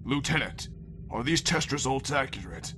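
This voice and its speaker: A man's voice: mid-range voice, expressive, moderate pace, close to an everyday voice. A man playing an arrogant, contemptuous, hot-tempered military officer in a sci-fi anime-style drama. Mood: dismissive. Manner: contemptuous, swearing, commanding. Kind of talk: sci-fi anime parody audio drama acting. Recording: loud music over the voice.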